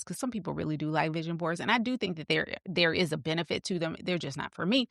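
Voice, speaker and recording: A woman's voice: lowish voice, vocal fry, no echo, clean studio sound. A woman in her fifties hosting a motivational podcast, upbeat and coaching, speaking straight to her listeners in a friendly, familiar way. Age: 50s. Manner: upbeat, coaching, talks straight to listeners with "sis", "honey", "y'all". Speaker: podcast host.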